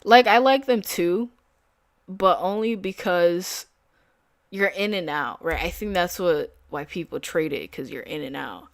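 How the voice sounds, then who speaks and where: A woman's voice: lowish voice, animated, measured pace, no echo. Casual young woman day trader. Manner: casual, filler-heavy, slangy. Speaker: day trader streaming live. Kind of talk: casual live-stream chat.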